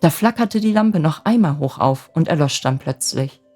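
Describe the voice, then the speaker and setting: A woman with a soft, gentle voice narrating a fairy tale as an expressive bedtime story. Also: deep voice, normal speed, faint music behind.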